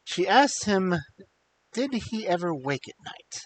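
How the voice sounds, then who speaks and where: Unhurried male storyteller with lively pitch. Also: low-quality recording. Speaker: storyteller, live stream host. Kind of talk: expressive fairy tale narration. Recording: faint background hiss.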